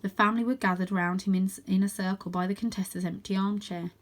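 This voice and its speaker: A woman's voice: medium pitch, fairly even intonation, quick pace. A woman reading a children's storybook aloud as scripted narration. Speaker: storybook reader.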